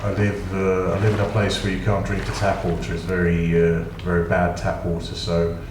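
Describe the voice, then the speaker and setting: A British man with a very low voice talks his way through a game in a loose, rambling way, full of dry asides that poke fun at himself. He sounds some way off from the mic, in an echoey room with clear noise underneath. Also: completely flat delivery, normal speed, far from the mic. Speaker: gaming channel host. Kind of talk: casual gameplay commentary.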